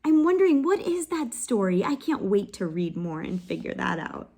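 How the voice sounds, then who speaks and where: A woman hosting a children's read-aloud, reading a picture book expressively, pausing to ask her listeners questions and sometimes dropping to a soft whisper, close to the mic. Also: medium pitch, big pitch swings, breathy edge.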